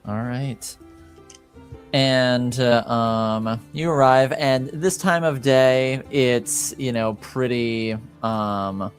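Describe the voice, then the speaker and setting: A man casually improvising narration as a game master, halting and deliberate. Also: mid-range voice, faint music behind.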